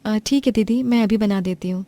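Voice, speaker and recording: A woman's voice: mid-range voice, brisk, no room sound, lightly acted. Woman voicing a meek young daughter-in-law. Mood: polite. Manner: soft, polite, submissive.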